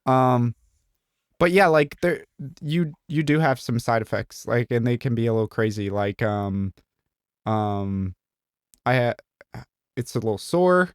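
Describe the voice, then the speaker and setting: A man's voice: medium pitch, expressive, measured pace, long pauses, clear, resonant tone, no room sound, studio quality. A young man from Southern Illinois, co-hosting a podcast in a casual, rambling way, full of fillers and jokes. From Southern Illinois, USA. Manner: rambling, heavy use of "like" and "um", joking. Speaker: podcast co-host. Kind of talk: casual chat.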